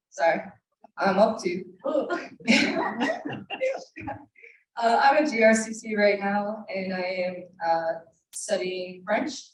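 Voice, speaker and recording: Slow, hesitant young woman. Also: mid-range voice. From Russia. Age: 20s. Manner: slow, hesitant self-introduction. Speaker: guest. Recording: roomy, from a distance.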